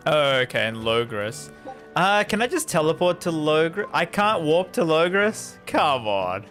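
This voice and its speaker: A man's voice: a little high, sing-song, a little slow, a bit bright, faint music behind. A man streaming a game with casual, chatty, jokey live commentary.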